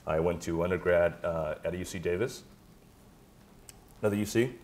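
Deep-voiced hesitant man on a panel. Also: normal speed, darkish tone, slight background noise. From Taiwan. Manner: hesitant, frequent "uh" fillers, modest. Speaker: panelist, tech executive. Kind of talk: panel discussion. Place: conference auditorium stage.

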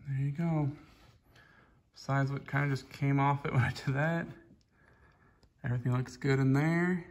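A man casually showing a chainsaw filter in his garage workshop, talking in a relaxed, rambling way with lots of fillers, recorded on a phone held close. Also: mid-range voice, normal speed. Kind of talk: casual hands-on show-and-tell. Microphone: phone camera held close.

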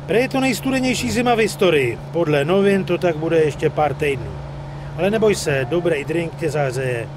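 A breezy wiseguy gangster played by a male actor with a fairly high voice, full of slang and jokes. It is video game dialogue over clear engine noise. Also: lively intonation, close to an everyday voice. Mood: warm, upbeat. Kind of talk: video game cutscene acting.

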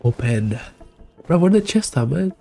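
A man's voice: a little high, big pitch swings, a little slow, creaky, warm tone. A young man who is a bit sick, making laid-back, casual gameplay commentary in muttered asides. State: sick with a cold. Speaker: streamer reacting to a game. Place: small indoor room. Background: game music.

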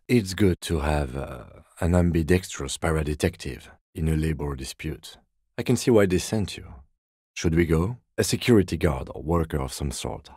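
An actor playing a composed, dry-witted middle-aged police detective in a video game, speaking in a measured, formal, deadpan way. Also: male voice, very deep voice, breathy edge, no room sound. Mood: patient, dry, then matter-of-fact briefing. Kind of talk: video game character dialogue.

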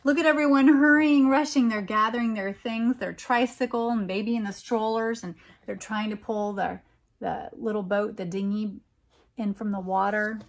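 A middle-aged American woman reads a picture book aloud, adding expressive, wondering comments for young listeners. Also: on the high side, normal speed. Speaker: storytime reader and teacher.

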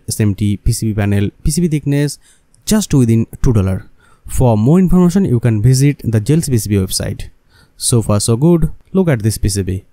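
A man with non-native English presents a hands-on tutorial. His voice is mellow and relaxed, and the recording is dry and close. He talks straight to his viewers as he explains each step. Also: medium pitch, no echo. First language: not English. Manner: non-native phrasing, addresses viewers as guys. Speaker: electronics hobbyist presenter. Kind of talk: scripted DIY tutorial narration.

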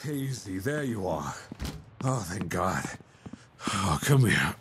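Guy acting worried mafia boyfriend, asmr roleplay, deep voice, slow and halting, tender. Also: big pitch swings, fairly bright tone, a little hiss or hum, exaggerated voice. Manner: hesitant, sighing, halting. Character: worried, tender mobster boyfriend, adult man.

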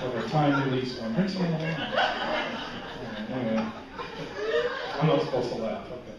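A man's voice: mid-range voice, normal speed, heavy background noise. An older man singing comic cabaret songs at the piano and joking with the audience between songs in a wry, self-mocking way. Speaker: cabaret singer and pianist. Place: church hall stage with a seated audience. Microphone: stand mic at the piano, filmed from the back of the room. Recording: echoey hall taped from far off, dull.

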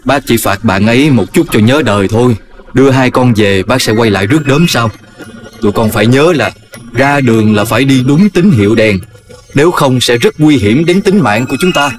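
A man's voice: medium pitch, a little fast, soft background music, lightly acted. Man acting a stern, lecturing cartoon elder. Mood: stern scolding. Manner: emphatic, lecturing delivery.

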